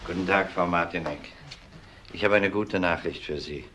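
Calm dogged cop in a crime drama, really low voice, matter of fact, bit of noise behind. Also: male voice. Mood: measured, probing. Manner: measured, matter-of-fact questioning. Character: calm, dogged male police detective. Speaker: actor. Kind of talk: German TV crime drama acting.

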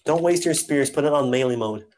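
A man of middle pitch giving quick, bossy orders to his squad over voice chat. He talks casually, often repeating himself as he directs the others, with a faint hiss of noise under his voice. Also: a little mellow. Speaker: squad commander in an online game.

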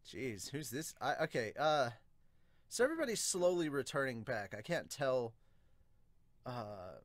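A man hosts a casual manga review in an informal, exclamatory way. His voice sits at a middle pitch and he often breaks off into asides. The sound is dry, recorded at a desk in a room at home. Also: big pitch swings, a little slow, pauses often, no echo. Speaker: manga reviewer and podcast host. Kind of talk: casual reaction commentary with improvised manga dubbing. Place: small furnished room at home.

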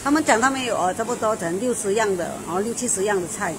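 A woman's voice: mid-range voice, expressive, fast talker. A middle-aged woman, hosting a casual restaurant review and chatting enthusiastically with the owner inside a restaurant. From Malaysia. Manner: chatty and enthusiastic, with frequent fillers and exclamations. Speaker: food show host. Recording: noisy restaurant background.

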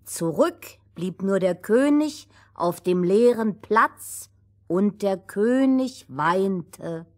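A woman's voice: mid-range voice, animated, drawn-out words. A woman playing an assured storyteller who narrates a fairy tale for children and corrects her partner. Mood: narrating.

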